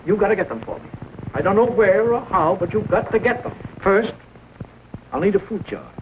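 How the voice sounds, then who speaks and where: A grave, commanding country doctor with a slightly raised male pitch. His orders are short and measured. The 1940 film soundtrack is narrow and tinny, with light hiss. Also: lively intonation. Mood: urgent, commanding. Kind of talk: 1940s film acting.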